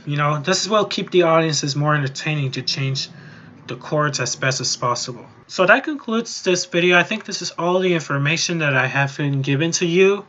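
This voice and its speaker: Male tutorial host, bright sharp tone, slightly high pitch, casual, rambling and repetitive, slightly muffled lo-fi recording. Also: normal speed.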